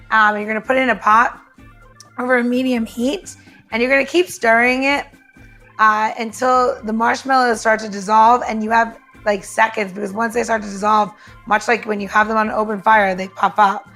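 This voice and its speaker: A woman's voice: a little high, fairly even intonation, brisk, pushed and bright, soft background music. A woman from New York chatting casually and jokingly as a podcast co-host, explaining recipes in an informal way.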